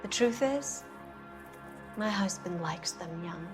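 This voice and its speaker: An actress playing a cynical, guarded producer's wife in a 1940s noir video game, answering in a cool, bitter, evasive way. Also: female voice, on the low side, lively intonation, slow, over music.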